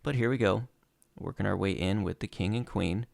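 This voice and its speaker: A young man talking over his game replays in a relaxed play-by-play, losing his voice and filling gaps with "uh". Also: fairly low pitch, normal speed, vocal fry, dry recording. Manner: relaxed play-by-play with frequent uh fillers. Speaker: gaming channel host. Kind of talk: commentating over gameplay replays.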